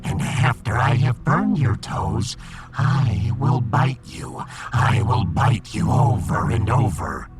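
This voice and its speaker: A man voicing a vengeful alien fish in a sci-fi audio drama, pompous and full of drawn-out threats. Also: lowish voice, very animated, over loud music, full character voice. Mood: menacing.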